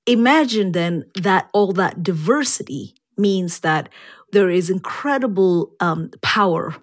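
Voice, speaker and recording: A woman scientist speaking as an interview guest, explaining in a measured way and stressing key points. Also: lowish voice, expressive.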